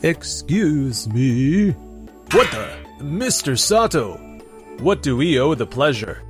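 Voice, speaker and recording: A man's voice: medium pitch, sing-song, takes it slowly, music in the background. A man playing a defensive, blustering middle manager in an anime-style manga dub. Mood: startled. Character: defensive, flustered male middle manager, eager to placate.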